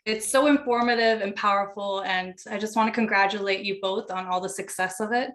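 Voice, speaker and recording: A woman's voice: medium pitch, steady tone, a little fast, a bit bright. Woman interviewer, mid-range voice; courteous, prepared questions to guests.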